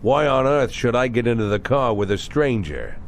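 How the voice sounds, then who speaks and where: Gruff disgraced cop; curt, suspicious, mid-pitched with wide swings, noisy outdoor backdrop. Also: male voice, sing-song, normal speed. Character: gruff, guarded, disgraced former police official. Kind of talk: dramatic game cutscene dialogue.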